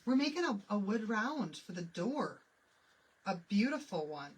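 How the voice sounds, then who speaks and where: Woman, Michigan accent, chatty craft livestream host, lively swooping pitch, laughs often. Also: unhurried, husky, poor audio. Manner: chatty, laughs often, answers chat questions while working. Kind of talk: live crafting tutorial, chatting with viewers.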